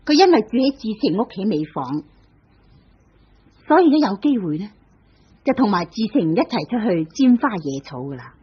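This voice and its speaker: A woman's voice: mid-range voice, very animated, normal speed, pauses often, husky. A woman with a Hong Kong Cantonese accent narrating an old radio drama in a storytelling manner. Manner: storytelling, lilting delivery. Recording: dull old radio broadcast.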